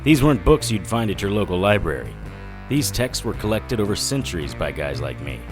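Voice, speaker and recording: Wry hard-boiled detective, music behind. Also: male voice, lowish voice, big pitch swings, fairly quick. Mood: wry narration. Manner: wry, world-weary, matter-of-fact. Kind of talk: noir first-person narration and dialogue.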